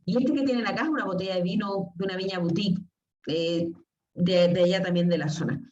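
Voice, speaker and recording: A woman's voice: on the low side, fairly quick, darkish tone. A woman from southern Spain, giving an academic talk with slides and telling stories to her audience. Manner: storytelling, addresses audience directly with questions. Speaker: lecturer. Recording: echoey, distant, dull lo-fi sound.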